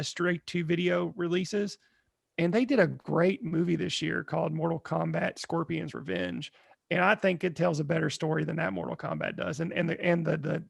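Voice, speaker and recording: A man co-hosting a podcast, talking casually about films in an earnest, halting way. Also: a little high, creaky, no room sound, studio quality. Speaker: podcast co-host. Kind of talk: casual film chat.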